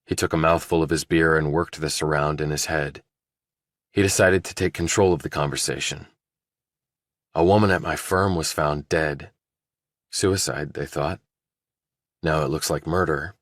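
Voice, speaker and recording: A man narrating a thriller audiobook, reading scripted prose and character dialogue in a deep voice. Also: fairly even intonation, normal speed, long pauses, fairly bright tone, no room sound, clean studio sound.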